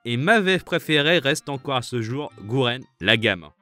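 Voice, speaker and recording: A man casually answering viewer questions, hesitating and pausing often. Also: mid-range voice, animated, pushed and bright, faint music behind. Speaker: fandub group member.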